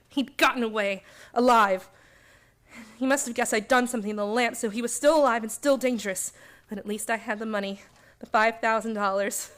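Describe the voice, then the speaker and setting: Woman acting as hard scheming dame in a crime radio play, higher voice, wary. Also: fairly even intonation, normal speed, long pauses, fairly bright tone, natural voice. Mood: wary, bitter. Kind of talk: suspense radio drama acting with first-person narration.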